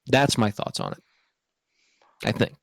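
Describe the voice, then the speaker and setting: A man's voice: measured pace, dry recording. Earnest man explaining his opinion, dry close sound. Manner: earnest, explanatory, checks in with "right?". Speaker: podcast host. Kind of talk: casual opinion discussion.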